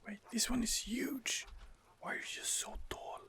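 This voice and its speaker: A man giving soft, hesitant, whispered ASMR commentary over gameplay into a close home microphone, pausing often on fillers. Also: extremely high pitch, fairly even intonation. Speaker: let's play host.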